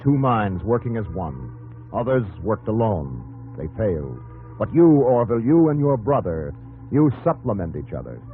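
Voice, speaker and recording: Male American narrator, very low and grave, probing 1950s radio drama delivery, dull vintage recording. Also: big pitch swings, moderate pace. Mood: solemn, admiring. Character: solemn, probing male narrator addressing the hero directly. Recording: muffled old radio broadcast, music underneath.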